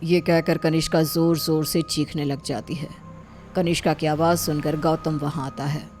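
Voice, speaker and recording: A woman's voice: low voice, level tone, moderate pace, darkish tone. A woman narrating a scripted story, with music behind her voice.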